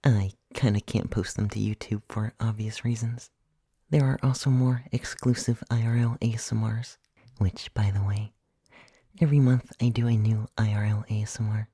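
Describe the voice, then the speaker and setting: A man who creates ASMR audio, talking casually to listeners in a soft, breathy, often whispered voice. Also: lowish voice, steady tone, normal speed, no room sound, clean studio sound. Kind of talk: casual soft-spoken ASMR channel plug.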